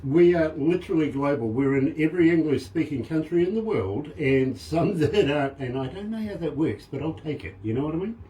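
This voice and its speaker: A man's voice: a little high, lively intonation, fairly quick, hardly any pauses, warm tone, a little hiss or hum, poor audio. A man with a New Zealand accent hosting a radio show, enthusiastic and fond of repeating phrases for emphasis. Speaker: radio host.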